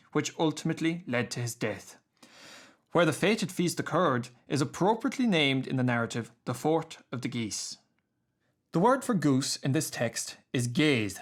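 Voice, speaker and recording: Male narrator for a scripted radio documentary. Mid-pitched, measured, precise diction. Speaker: narrator reading a radio series.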